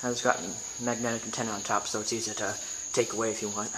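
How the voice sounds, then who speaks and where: A man's voice: fairly low pitch, little pitch movement. American man, casual how-to, noisy recording. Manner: informal, halting, thinking aloud. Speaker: video tutorial host. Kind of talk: casual hands-on how-to demonstration. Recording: noisy amateur camera recording with clicks.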